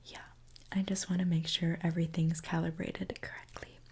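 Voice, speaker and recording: A woman whispering a gentle ASMR roleplay as a reassuring repair mechanic, talking softly and directly to the listener. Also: lowish voice, level tone, husky. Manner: soft, gentle, reassuring, unhurried. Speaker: ASMR performer playing a robot repair mechanic.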